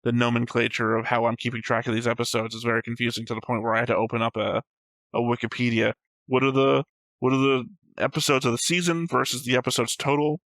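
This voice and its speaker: Crisp gravelly man debating casually. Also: mid-range voice, flat delivery, quick pace, fairly bright tone, no room sound, low-quality recording. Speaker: reaction show host. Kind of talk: casual debate about an animated series.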